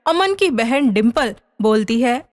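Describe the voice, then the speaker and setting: A woman's voice: on the high side, lively intonation, a little slow. A woman narrator reading a scripted moral story.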